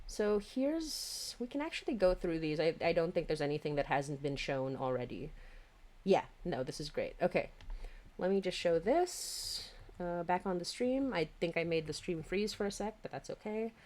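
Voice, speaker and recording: A woman's voice: lowish voice, animated, moderate pace, a little mellow. A woman hosting a casual livestream, chatting in a bubbly, giggly, rambling way. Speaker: livestream host.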